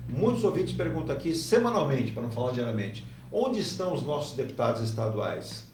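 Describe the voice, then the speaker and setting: A male talk show host with a mid-pitched voice, speaking conversationally. He uses plenty of fillers and tag questions. He sounds roomy and set back from the microphone. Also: big pitch swings, fairly quick, darkish tone, a little hiss or hum. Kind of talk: hosting a discussion. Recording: roomy and distant from the mic.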